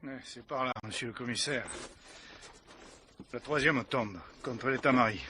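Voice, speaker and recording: An actor playing an excitable, folksy cemetery caretaker in a radio mystery, stammering and rambling. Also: male voice, medium pitch, measured pace, faint music behind. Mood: chatty, shaken. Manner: flustered, stammering, chatty, mangles learned words. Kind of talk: French radio mystery play acting.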